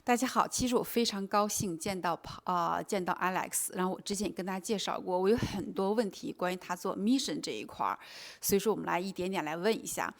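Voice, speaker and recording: A woman's voice: fairly low pitch, fast talker, creaky, no room sound. A woman hosting her own channel, chatting as she walks through the snow to a home and then asking interview questions. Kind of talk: vlog narration and interview questions. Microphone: handheld camera.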